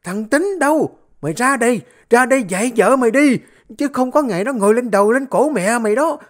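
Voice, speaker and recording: A woman's voice: on the high side, lively intonation, a little fast. A woman voicing a cruel elderly mother-in-law who hurls screeching insults in an audio story. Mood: wailing. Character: shrill cruel old mother-in-law, scolding.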